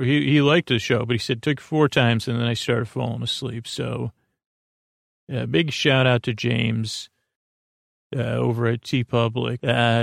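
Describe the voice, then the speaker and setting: A man hosting a bedtime podcast in a rambling, halting monologue, with a creaky voice and sentences that often trail off. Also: mid-range voice, no echo, clean studio sound. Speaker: podcast host.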